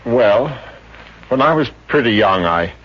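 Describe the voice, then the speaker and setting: An actor playing a sensitive, self-pitying young psychiatrist in a 1950s radio comedy, hesitant and earnest. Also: male voice, medium pitch, expressive, a little slow, husky. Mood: plaintive, self-pitying. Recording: muffled, hissy 1950s radio recording.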